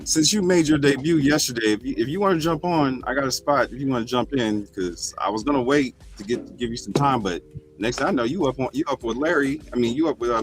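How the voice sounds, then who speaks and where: A man hosting a casual group chat, telling loose stories and welcoming each guest by name. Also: mid-range voice. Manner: loose, storytelling, acts out quotes with raised voice. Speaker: podcast host.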